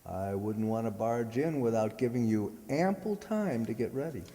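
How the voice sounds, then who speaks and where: A man's voice: medium pitch, lively intonation, moderate pace, dark tone, lightly acted. A man playing a shy, earnest, oblivious suitor in a comedic old-time radio show, polite and awkwardly hesitant. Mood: polite, eager. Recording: hall-like, long reverb.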